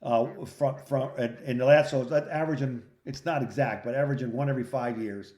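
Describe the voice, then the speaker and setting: A man's voice: medium pitch, fairly even intonation, brisk, darkish tone, roomy sound. A middle-aged man co-hosting a podcast over a recorded phone call, chatting casually in a reflective, plain-spoken, jokey way. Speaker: podcast co-host.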